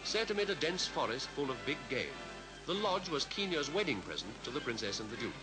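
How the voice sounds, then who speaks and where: A man with a British Received Pronunciation accent reading scripted 1950s newsreel commentary in a formal, measured announcer style. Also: mid-range voice, very animated, rough recording. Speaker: newsreel narrator. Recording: vintage 1950s newsreel soundtrack with music.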